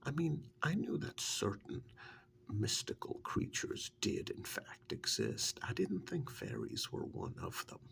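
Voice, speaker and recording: A man playing a witch's assistant in an ASMR role-play, softly and haltingly confiding his rueful, easygoing stories in a breathy, gravelly half-whisper. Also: mid-range voice, huge pitch swings, slight background noise, full character voice. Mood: rueful, musing. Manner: halting, unhurried, confiding, understated.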